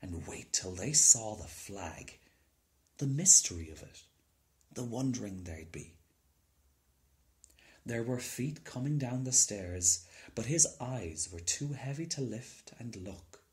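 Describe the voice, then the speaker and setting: A man's voice: medium pitch, animated, slow pace, darkish tone. A man reading a children's story aloud as scripted narration. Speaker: storybook reader.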